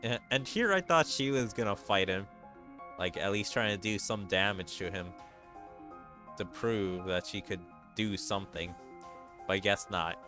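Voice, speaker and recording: A man's voice: on the low side, measured pace, bright, forceful tone. Guy doing casual gaming commentary, game music playing behind him. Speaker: streamer playing a mobile game.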